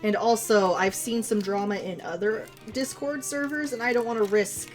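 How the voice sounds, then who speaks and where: A woman's voice: medium pitch, moderate pace. Girl streamer chatting w chat, giggly n rambly, voice kinda worn out, faint music under. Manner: giggly, rambling, self-teasing. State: losing her voice. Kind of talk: casual stream chat with viewers.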